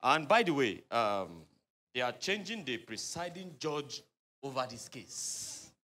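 A man playing a lively, bright-voiced lawyer. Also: mid-range voice, exaggerated voice. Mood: calm. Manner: halting, fillers, then loud yelling. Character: fussy lawyer, cares about his fee. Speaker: defence lawyer. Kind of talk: comedy skit acting.

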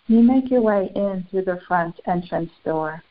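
A woman leading a guided spiritual meditation in solemn, formal, deliberate phrases with long pauses. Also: mid-range voice. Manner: solemn, formal, ceremonial wording. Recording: muffled, phone-like narrow band.

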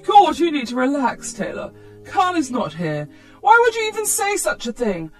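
Flustered, high-voiced evasive grandmother played by a woman. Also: big pitch swings, normal speed, faint music behind. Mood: dismissive. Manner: exclamatory, brushing off. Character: evasive, flustered grandmother, dismissive of her daughter.

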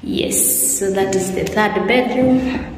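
A woman in her thirties giving a casual, excited tour of her new apartment, filmed on a phone. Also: mid-range voice, takes it slowly. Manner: excited, simple repeated phrases. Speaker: vlogger. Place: empty apartment with bare rooms. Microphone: phone camera. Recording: echoey empty rooms.

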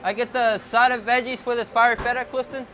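Guy barking kitchen orders, pretty high voice, music going behind. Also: flat delivery, sharp tone, rough recording. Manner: brisk orders called out to cooks. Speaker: kitchen expediter. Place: restaurant kitchen.

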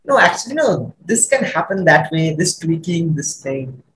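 A man's voice: on the high side, sing-song, moderate pace, a bit bright, echoey room, slight background noise, rough recording. A young man hosting a podcast on a video call, asking questions casually and encouraging his guest. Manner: encouraging, brief affirmations. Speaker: podcast host.

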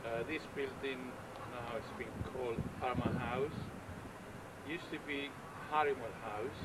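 Middle-aged guy chatting haltingly on a roof, far from the mic, loads of wind noise. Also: medium pitch, steady tone. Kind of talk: halting informal on-location talk. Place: outdoors on a city rooftop. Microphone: camera mic from a distance. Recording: distant camera mic, loud wind and traffic.

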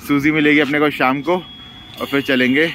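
A man's voice: a little high, fairly even intonation, moderate pace, bright, forceful tone, slight background noise. A male travel vlogger chatting casually and playfully to his viewers, mixing English words into his talk.

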